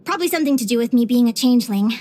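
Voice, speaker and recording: Game role: bubbly young naturalist adventurer girl played by a woman, high-pitched, expressive, curious and sweet. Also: racing, slight background noise, close to an everyday voice. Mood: sheepish, fond. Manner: expressive, chirpy, with sighs and giggles. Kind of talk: scripted video game character voice lines.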